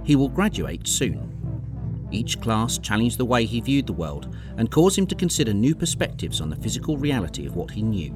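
A man's voice: mid-range voice, big pitch swings, moderate pace, music in the background, lightly acted. A man delivering scripted narration for an audio drama.

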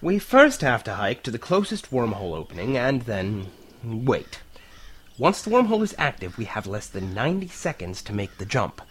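An actor playing an enthusiastic, patient professor in an audio drama, explaining deliberately with pauses. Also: male voice, medium pitch, sing-song, a little hiss or hum, natural voice. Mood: lecturing. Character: enthusiastic male professor who discovered time-travel wormholes, patient explainer.

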